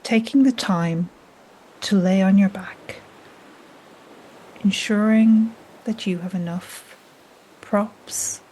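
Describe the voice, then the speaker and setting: A woman guiding a yoga nidra relaxation in a soft, breathy, half-whispered, soothing manner. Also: medium pitch, takes it slowly, pauses often, poor audio. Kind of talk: guided relaxation meditation. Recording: steady soft noise like ocean waves. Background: gentle ocean waves.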